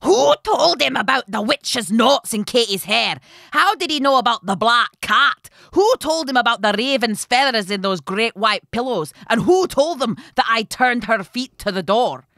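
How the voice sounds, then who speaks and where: A woman voicing a stubborn, spiteful witch mother in a storybook tale, loud and emphatic. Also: fairly high pitch, lively intonation, normal speed, gravelly, hardly any pauses, no room sound, studio quality, pitched above the performer's own voice. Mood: furious, alarmed.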